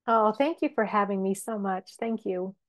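A woman Bible teacher and author, a podcast guest, talking casually in a halting way, with many restarts and fillers. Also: mid-range voice. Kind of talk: casual interview chat.

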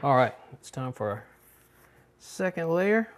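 A man's voice: a little high, unhurried. Middle-aged man demonstrating home cooking. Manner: relaxed step-by-step instruction with fillers. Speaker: cooking show host. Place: home kitchen, indoors.

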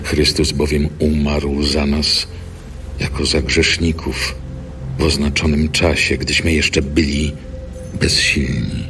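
A man reading an epistle from scripture in a grave, deliberate, scripted style. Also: very deep voice, little pitch movement, over music. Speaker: scripture reader.

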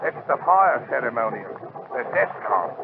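An actor playing a wary, practical boat pilot in a 1940s radio drama, giving grim warnings. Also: male voice, mid-range voice, sing-song, moderate pace, creaky. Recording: noisy, tinny 1940s radio recording.

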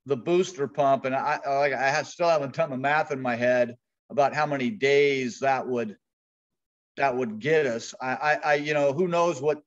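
A man taking part in a committee meeting, asking questions in a halting way with stammered, repeated starts. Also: medium pitch. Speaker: committee member. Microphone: video call. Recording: muffled video call audio.

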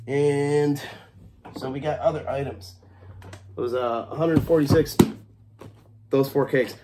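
A man's voice: mid-range voice, fairly even intonation, unhurried. Young guy, stuffy and coughing, swearing a lot, talking to a camera on the table in his kitchen, faint background noise. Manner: laid-back, swears freely, scolds his cat. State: congested with a cough. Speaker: fireworks vlogger. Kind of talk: casual unboxing vlog. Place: small kitchen at home, indoors.

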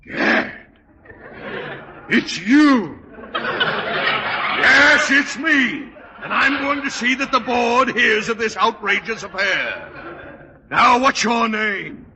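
A man's voice: very high voice, big pitch swings, very slow, long pauses, full character voice. An actor playing a pompous, blustering state school board chairman with formal speech in a 1940s radio sitcom. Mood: indignant. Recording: noisy vintage 1940s radio broadcast.

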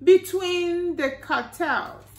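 A woman's voice: very high-pitched, very slow, darkish tone, pitched above the performer's own voice, exaggerated voice. A woman voicing a playful little boy bear cub from a storybook, with cheerful sing-song replies. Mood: playful, eager. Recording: roomy home echo.

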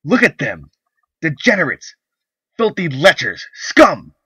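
A male narrator voicing a young wife who is angry and disgusted, his voice pushed high and strained. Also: wildly swooping pitch, takes it slowly, sharp tone, slightly rough, no room sound, heavily acted. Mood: furious. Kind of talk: audiobook character voice. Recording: dull, lo-fi recording.

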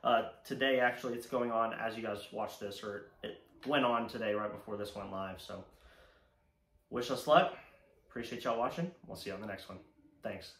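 A man's voice: fairly low pitch, normal speed, a bit bright. A young man with an American accent talks casually and informally to camera as a fishing vlog host. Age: young adult. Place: indoor room at home, seated before a banner. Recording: echoey room, faint background noise.

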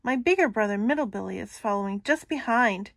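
A woman's voice: mid-range voice, lively intonation, a little fast, gravelly, dry recording, clean studio sound. A woman voicing a small, polite young billy goat pleading to pass.

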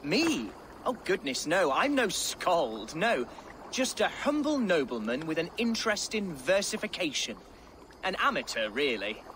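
A man's voice: big pitch swings, measured pace, fairly bright tone, slight background noise. An actor playing a timid, earnest young exiled nobleman in a video game, speaking in flowery, deferential phrases. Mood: bashful. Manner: flowery, deferential, high-flown speech. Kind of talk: video game cutscene acting.

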